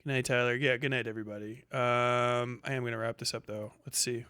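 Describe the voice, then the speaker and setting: A man hosting a casual, unscripted livestream, talking bluntly with profanity, muttering asides and reading things out loud as he goes. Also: medium pitch, little pitch movement, fairly quick, clean tone, dry recording, clean studio sound. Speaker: livestream host. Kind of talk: reads names, stats and posts aloud.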